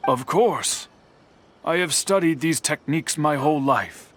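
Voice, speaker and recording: A man voicing an older master craftsman in a video game, formal and deliberate, stern yet kindly. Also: on the high side, expressive, slight background noise. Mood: calm, formal. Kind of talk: anime-style video game voice acting.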